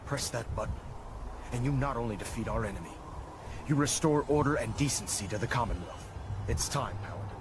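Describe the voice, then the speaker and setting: A man's voice: mid-range voice, brisk, background noise, natural voice. Male actor as a commanding, zealous military leader. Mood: stern, zealous. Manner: authoritative, formal, oratorical. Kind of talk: scripted video game dialogue. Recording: game audio with music behind.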